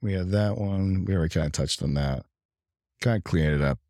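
A man co-hosting a casual gaming livestream, talking in a halting, rambling way as his voice gives out. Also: deep voice, dark tone, no echo, clean studio sound. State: losing his voice. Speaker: livestream and podcast co-host.

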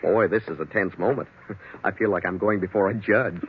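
A man's voice: deep voice, steady tone, normal speed, close to an everyday voice. A man playing a polite, plain-spoken young husband in old radio drama style, easygoing and conciliatory. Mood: easy, curious. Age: young adult. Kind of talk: 1940s radio drama acting. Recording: muffled, noisy old radio recording.

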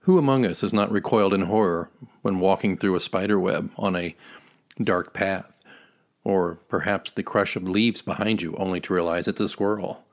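A man hosts a spooky storytelling podcast. His voice is slightly low, and he reads a scripted introduction. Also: normal speed. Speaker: podcast host.

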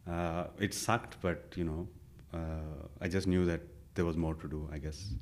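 Low-voiced man telling his story. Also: measured pace. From India. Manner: relaxed, with many fillers and self-quotes. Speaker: interview guest. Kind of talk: casual conversational storytelling.

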